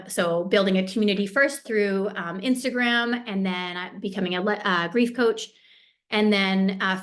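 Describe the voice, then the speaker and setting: A woman speaking as a guest founder on a video call, telling her personal story softly and hesitantly. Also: medium pitch.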